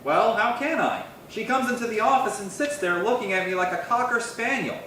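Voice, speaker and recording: A man's voice: sits high, expressive, brisk, pushed and bright. A man playing a hapless, easily exasperated husband and office worker in a 1950s radio comedy, earnest and fussy. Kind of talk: 1950s radio sitcom acting. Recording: echoey hall, distant mic, faint noise.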